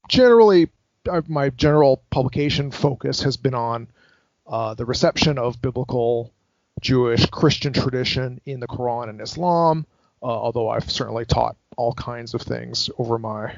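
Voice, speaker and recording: A man in his fifties, originally from Hawaii, talks through his academic career as a podcast guest. His voice has a gravelly fry and he stops and restarts often. The sound is dull and dry, as over a remote call. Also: mid-range voice, no echo. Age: 50s. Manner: hesitant, many filler words and restarts. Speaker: guest scholar. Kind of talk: academic interview.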